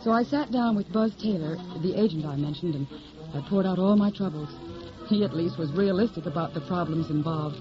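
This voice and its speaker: Actress as plucky young singer, muffled vintage radio. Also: female voice, on the low side, fairly quick, close to an everyday voice. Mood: wry confiding. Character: plucky, stubborn young woman singer seeking showbiz work. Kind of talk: 1940s radio drama acting and narration. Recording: muffled old radio broadcast, music behind.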